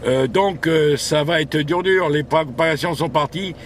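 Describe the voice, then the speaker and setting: A man with a slightly high voice talks as an amateur radio operator in a casual exchange. He speaks haltingly, often repeating his words. A faint layer of noise sits around the voice. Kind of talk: casual radio contact chat.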